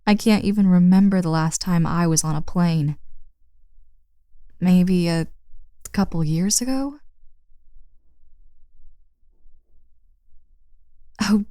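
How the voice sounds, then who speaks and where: A woman's voice: on the low side, mellow, no echo, clean studio sound. A woman playing a friend's teasing, tired older sister in a casual, intimate roleplay audio drama addressed to the listener. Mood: weary, grumbling. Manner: casual, playful, sighing, drawn-out words.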